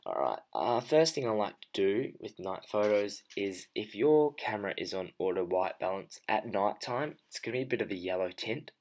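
A low-pitched male voice narrates a screen-recorded tutorial. He speaks casually and without a script, thinking aloud as he goes. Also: lively intonation, moderate pace, few pauses, a little mellow. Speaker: photo editing tutorial presenter.

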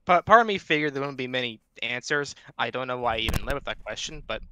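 A man's voice: mid-range voice, animated, fast talker, bright, forceful tone, slight background noise. A man chatting casually in an online panel, rambling and joking at his own expense as he asks a question. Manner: rambling, jokey, self-deprecating. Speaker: audience member asking a question. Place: remote voice chat.

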